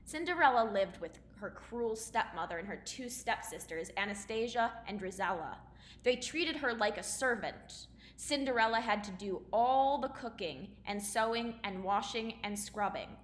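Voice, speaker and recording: A woman gives a lesson and read-aloud in a bright, slightly high voice. Her phrasing is simple and instructive, as for young pupils. The room is echoey with faint noise. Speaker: elementary school teacher. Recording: roomy echo, faint background noise.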